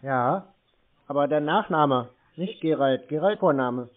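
A man's voice: on the high side, unhurried. Sardonic man on a tinny phone line. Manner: sardonic, needling. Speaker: scambaiter taking scam calls. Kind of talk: sarcastic prank phone chat.

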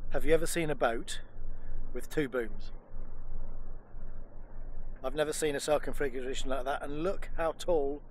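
A man's voice: medium pitch, moderate pace, pauses often, slight background noise. A man in his fifties, a vlogger host, chatting casually and self-deprecatingly to a camera held at arm's length on a sailboat outdoors.

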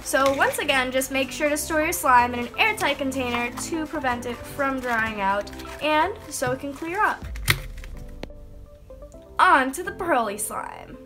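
A high, bright-voiced young woman recovering from a cold talks through a craft tutorial with bubbly enthusiasm. Music plays steadily beneath her voice-over. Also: animated, a little slow. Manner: bubbly and enthusiastic, explaining step by step. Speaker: slime-making channel host. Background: background music.

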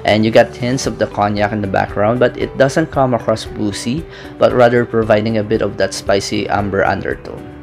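A young man narrating a scripted fragrance review in a steady, methodical, descriptive way, recorded indoors on camera. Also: on the low side. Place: small indoor room with brick-pattern wall. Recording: clear background music under voice. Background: background music.

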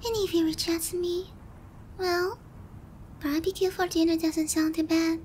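Very high-pitched anime dragon girl. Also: level tone, a little slow, a little mellow, slight background noise. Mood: smug, scheming. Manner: condescending, hesitant with giggles and murmured asides. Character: haughty, flustered, cutesy young anime dragon girl. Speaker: voice actor. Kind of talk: anime ASMR character roleplay.

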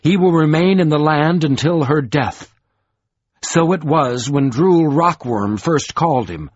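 A man's voice: on the high side, slightly rough, voice pushed up. A man reading a stern solemn lord. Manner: measured, formal, archaic speech. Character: solemn grave male lord, stern, weary. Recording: dull lo-fi recording.

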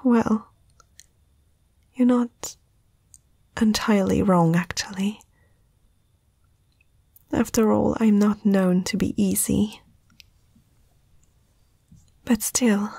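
A woman voicing a young princess, proud yet uneasy, in a hesitant breathy whisper for an ASMR fantasy roleplay. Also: mid-range voice, warm tone, no echo. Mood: gently reproachful. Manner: hesitant, slow, intimate.

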